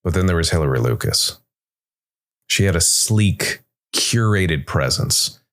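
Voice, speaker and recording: A man reads scripted dark fantasy narration in a measured way, with dramatic pauses between short phrases. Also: deep voice, lively intonation. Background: background music.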